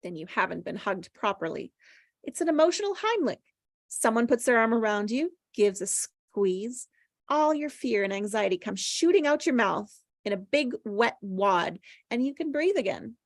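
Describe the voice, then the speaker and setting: A woman's voice: a little high, sing-song, moderate pace, dry recording, clean studio sound. A woman acting a sweet, playful young woman in love, earnest and teasing, at a table read. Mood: earnest, persuasive.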